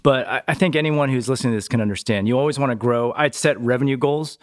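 A man talking as a podcast guest in a relaxed, chatty way, often tagging his points with a quick question. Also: medium pitch. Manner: relaxed, chatty, tags sentences with "right?". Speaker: podcast guest, business owner. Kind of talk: casual conversational interview.

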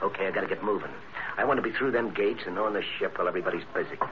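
An actor playing a cold, sneering wartime saboteur who speaks in tough gangster slang, in 1940s radio drama style. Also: male voice, low-pitched, rapid-fire, lightly acted. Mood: sneering, gloating. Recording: noisy muffled old radio recording.

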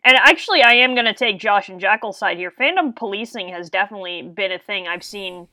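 A woman's voice: medium pitch, brisk, bright, forceful tone, dry recording. A woman talking in a teasing, upbeat way in casual podcast chat.

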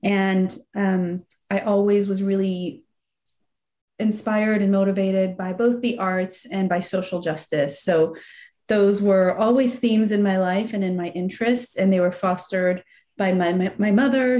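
A woman's voice: medium pitch, steady tone, a little slow. A woman born in Iran, a nonprofit executive director, chatting as an interview guest and telling her story with small laughs and fillers. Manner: warm, reflective storytelling with soft laughs and fillers. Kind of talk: casual interview chat.

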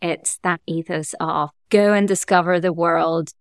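A woman who grew up in Germany, a guest in a casual interview, relaxed and laughing easily as she talks. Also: on the low side, measured pace, clear, resonant tone, no room sound, clean studio sound. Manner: relaxed, laughs often, uses fillers like "like". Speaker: agency cofounder, guest.

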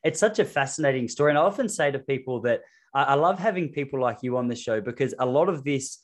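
An enthusiastic man hosts a running podcast, speaking over a remote call. His voice sits at an ordinary middle pitch. He chats with a lot of energy and a few fillers. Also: animated, quick pace. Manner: enthusiastic, chatty, many fillers. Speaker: podcast host and running coach. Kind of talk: casual podcast interview.

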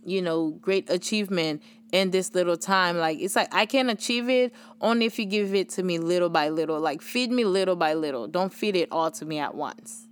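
Young Haitian-accented woman hosting a faith podcast; earnest, encouraging, filler-heavy, smooth voice. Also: fairly low pitch, moderate pace. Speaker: podcast host. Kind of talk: casual faith podcast talk.

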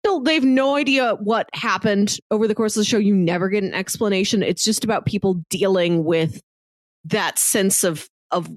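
A woman's voice: medium pitch, moderate pace, no room sound. Woman reviewer with a close, dry sound. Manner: analytical, articulate, elaborates at length. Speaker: podcast co-host and reviewer. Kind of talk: casual film and TV review chat.

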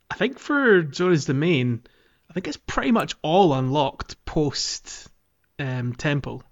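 Man chatting casually, slightly muffled sound. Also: fairly high pitch. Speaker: podcast host. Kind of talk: casual game discussion.

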